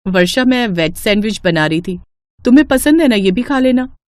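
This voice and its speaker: A woman plays a sweet new bride in a cartoon family tale. The voice is pitched up and recorded dry. She is deferential, conciliatory and polite. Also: animated, normal speed, darkish tone, no room sound, lightly acted. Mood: gentle.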